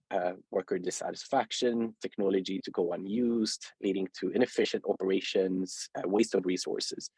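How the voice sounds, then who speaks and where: A man's voice: low voice, darkish tone, breathy edge, no echo. A man with a Swedish accent hosting a webinar interview, speaking hesitantly with many fillers and restarts. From Sweden. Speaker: host, company founder.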